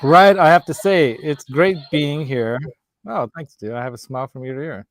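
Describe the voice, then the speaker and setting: A man's voice: fairly high pitch, moderate pace, no room sound. Man with a slight Hungarian accent. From United States. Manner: rambling, laughs easily. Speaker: host. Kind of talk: casual chat.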